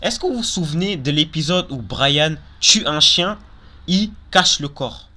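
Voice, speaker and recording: A man's voice: a little high, lively intonation, measured pace, bright, forceful tone. A young man hosting a casual countdown video, talking informally and giving opinions, often repeating and qualifying himself. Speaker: video host.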